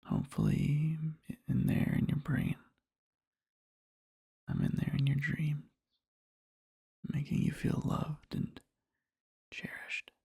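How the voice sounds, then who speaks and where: A man playing an adoring boyfriend in a soft ASMR roleplay, murmuring slowly and tenderly to a sleeping partner in a breathy, husky whisper. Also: a little high, wildly swooping pitch, no echo, studio quality, full character voice. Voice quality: breathy, husky, with creaky fry. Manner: slow, halting, tender murmuring.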